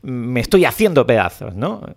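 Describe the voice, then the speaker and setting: A man's voice: mid-range voice, big pitch swings, normal speed, vocal fry. A man hosting a local radio interview, asking prompting questions in a casual tone with frequent fillers.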